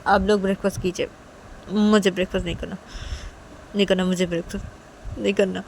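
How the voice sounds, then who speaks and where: A woman's voice: mid-range voice, level tone, fairly quick, vocal fry, darkish tone, slight background noise, natural voice, low-quality recording. A woman voicing a stubborn, upset daughter in a story, whining and repeating herself. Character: stubborn, upset daughter refusing her mother.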